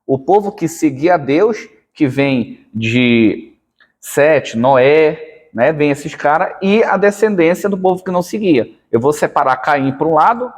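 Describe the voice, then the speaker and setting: A Brazilian man with a Brazilian Portuguese accent, a guest teacher patiently explaining history with examples on a podcast. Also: on the high side. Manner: patient, didactic, uses examples. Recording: long reverberant room sound.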